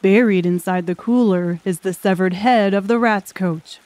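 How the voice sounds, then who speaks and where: A woman's voice: mid-range voice, normal speed, darkish tone, no room sound. A woman reading scripted, dramatic story narration as an audiobook narrator.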